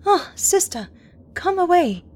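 A woman's voice: on the high side, a little fast, warm tone, natural voice. A woman reading a storybook voicing a frightened young woman crying out in alarm. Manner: alarmed, breathless cries.

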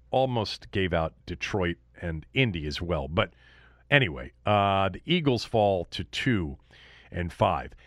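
A man with a fairly low, very lively voice talks sports solo as a podcast host. His delivery is conversational and opinionated, leaning hard on his points. The sound is close and dry. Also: dry recording. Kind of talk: casual sports recap commentary, talking solo to listeners.

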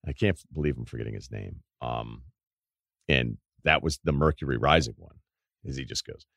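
Man, deep voice, very low pitch, dry studio sound, casual rambling podcast host. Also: normal speed, gravelly, fairly bright tone, dry recording. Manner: rambling storyteller, laughs at own stories. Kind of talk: casual chat.

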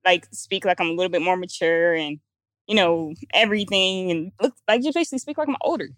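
A young woman in sales talks quickly in a lowish voice, full of fillers and restarts. Her speech is recorded dry and close, with no room echo. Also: fairly bright tone. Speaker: sales coach. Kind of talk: casual panel chat.

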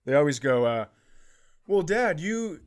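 A middle-aged American man in casual comedic conversation, telling stories in an animated way and acting out what others say. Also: fairly high pitch, a little slow. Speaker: comedy podcast host.

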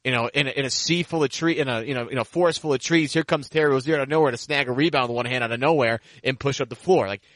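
A man with a bright, forward voice chats casually about sports as a podcast host, often repeating himself for emphasis. The sound is very dry, with no room around it. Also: mid-range voice, rapid-fire, few pauses, no echo.

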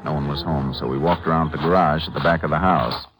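Deep-voiced, laconic Texas Ranger. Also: male voice, steady tone, fast, over music. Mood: matter-of-fact. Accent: American. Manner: laconic, matter-of-fact, firm. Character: calm, methodical male Texas Ranger lawman. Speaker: lead actor in a radio drama. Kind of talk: 1950s radio western crime acting with first-person narration. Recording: vintage 1950s radio recording.

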